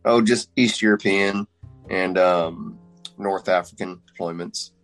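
Brief: thirties Texan-accented man, lowish voice, plain and dry, faint music. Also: fairly even intonation, measured pace, fairly bright tone. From Philippines. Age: 30s. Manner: matter-of-fact, dry humor. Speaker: police officer guest. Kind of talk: casual interview.

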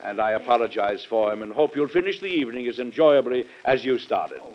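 An actor playing a dignified, worried American president and father in an old-time radio drama, formal and measured with some hesitation. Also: mid-range voice, expressive, slight background noise, lightly acted. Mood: courteous, apologetic. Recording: dull, band-limited old radio recording.

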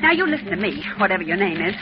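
An actor playing an anxious, excitable young cub reporter, in 1940s radio acting style. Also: male voice, high voice, racing, noisy background. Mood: alarmed. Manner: anxious, pleading. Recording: dull old radio recording with music.

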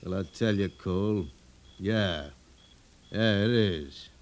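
An American actor playing a hard, defiant, needling outlaw in an old-time radio western, clipped and taunting. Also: male voice, sits low, slow. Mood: callous. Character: hard, callous, defiant male outlaw spoiling for a fight.

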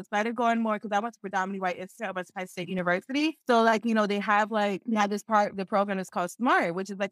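A woman's voice: medium pitch, a bit bright, no echo, rough recording. A young woman from Philadelphia, a podcast guest talking casually, laughing often and using lots of filler words. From Philadelphia, USA.

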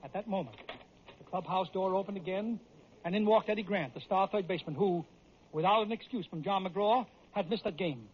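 A man's voice: high voice, fairly quick, slight background noise. A man with an American accent delivering emphatic, theatrical scripted sports stories in an old-time radio style. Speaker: radio storyteller and sports host. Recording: dull vintage radio recording.

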